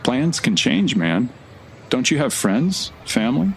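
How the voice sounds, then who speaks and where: A man's voice: mid-range voice, sing-song, unhurried, a little hiss or hum. An actor playing a blunt, sardonic male traveler in an audio drama, talking in a casual way. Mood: accusing, incredulous.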